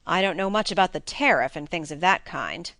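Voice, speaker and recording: A woman voicing a brisk, blunt young baronet in an audiobook, with emphatic phrasing. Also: lowish voice, expressive, a bit bright, dry recording, voice pushed down. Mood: puzzled, blunt. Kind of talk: audiobook character reading.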